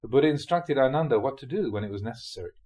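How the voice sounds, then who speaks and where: A man, a Buddhist monk, reads translated spiritual teachings aloud in a scripted audiobook style, with measured, deliberate phrasing in short clauses. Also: mid-range voice, lively intonation, darkish tone. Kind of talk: scripted audiobook-style reading of dharma talks.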